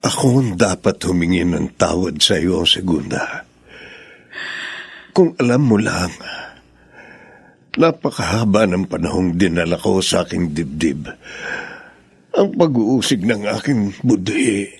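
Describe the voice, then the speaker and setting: A man's voice: animated, a little slow, pauses often, no room sound, full character voice. A man playing a grave, tender middle-aged father full of remorse in a Filipino radio drama. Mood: solemn, remorseful. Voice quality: deep, gravelly.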